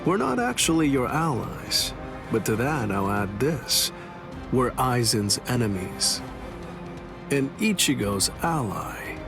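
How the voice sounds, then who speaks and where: A man's voice: medium pitch, very animated, takes it slowly, exaggerated voice. A man playing a cocky, laid-back rebel swordsman in an anime game, talking in a blunt, wry, casual way. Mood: wry, defiant. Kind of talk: anime game cutscene dialogue. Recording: dialogue over clear background music.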